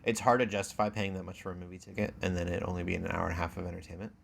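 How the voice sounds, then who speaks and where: A man chatting casually as a podcast co-host, informal and halting as he thinks aloud. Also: low-pitched, level tone, gravelly, slight background noise. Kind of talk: casual chat.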